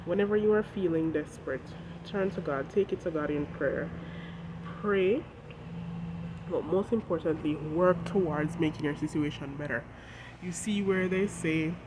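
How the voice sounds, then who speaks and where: A congested voice, stuffed up from a cold, delivers an earnest devotional talk with scripture and direct encouragement to viewers. The pitch sits in an ordinary middle range. Clear outdoor traffic noise runs underneath the whole recording. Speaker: host of a devotional channel. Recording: noisy outdoor traffic background.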